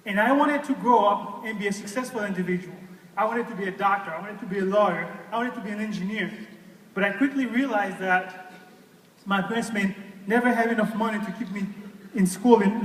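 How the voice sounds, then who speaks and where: High-voiced man in an echoey hall. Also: a bit bright, distant mic. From Haiti. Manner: earnest, emphatic, asks the audience questions. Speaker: guest speaker. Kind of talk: personal testimony speech. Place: large hall with an audience.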